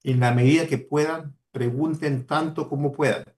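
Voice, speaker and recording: A man's voice: fairly high pitch, steady tone, moderate pace, darkish tone. A man with a Peruvian Spanish accent giving a motivational talk to students, earnest and exhorting, repeating key words for emphasis. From Peru. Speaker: guest speaker, mining professional.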